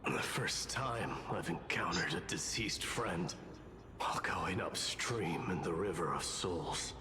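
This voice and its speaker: Low, slow, stoic warrior. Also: male voice, background noise. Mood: solemn. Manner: deadpan, halting, grave. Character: solemn, stoic male warrior, sparing with words. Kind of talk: video game character voice acting.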